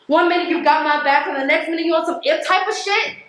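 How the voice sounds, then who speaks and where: A woman's voice: very high-pitched, fast, fairly bright tone. An actress on stage playing a defiant, embittered working-class woman postal carrier from the projects, speaking in an emphatic, confrontational, colloquial way. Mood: angry, accusing. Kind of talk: stage play acting. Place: theatre stage. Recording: very echoey stage recording.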